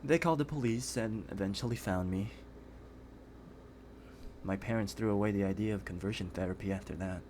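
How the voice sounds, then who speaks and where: A man acting a shy, guarded young guy with a fairly low voice. He speaks earnestly and with restraint, as in an intimate audio roleplay. There is noise behind the voice. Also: a little fast, pauses often, darkish tone, lightly acted. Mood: somber. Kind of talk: scripted ASMR roleplay acting. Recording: clearly noisy background.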